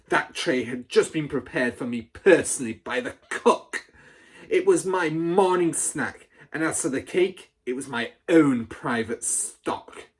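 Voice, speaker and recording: A storybook reader voicing a huge, cruel, tyrannical headmistress who barks and booms insults. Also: a little mellow, breathy edge. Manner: barking, booming insults. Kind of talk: storybook read aloud.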